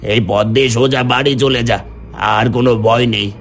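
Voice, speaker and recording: A man's voice: medium pitch, steady tone, normal speed, slight background noise, close to an everyday voice. A man voicing a protective, affectionate village ghost in a cartoon, earnest and cautioning. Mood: tender reassurance. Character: protective male village ghost, affectionate elder brother figure.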